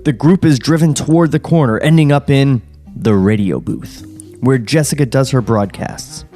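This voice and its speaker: Man narrating a screenplay, mid-pitched voice. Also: moderate pace, mellow, soft background music. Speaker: podcast script narrator. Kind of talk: reading screenplay directions, casual commentary. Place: podcast studio.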